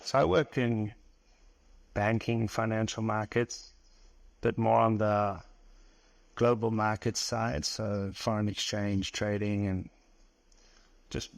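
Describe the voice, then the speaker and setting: Flat-voiced South African man. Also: on the low side, vocal fry, no echo. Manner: relaxed, even storytelling with pauses. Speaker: podcast guest. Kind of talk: casual interview.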